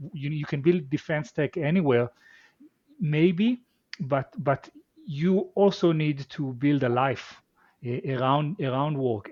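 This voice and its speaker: A man's voice: a little high, a little mellow, dry recording, lo-fi sound. A man with an Israeli accent, a guest in a casual interview who talks reflectively and often repeats his words. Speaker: venture capital guest.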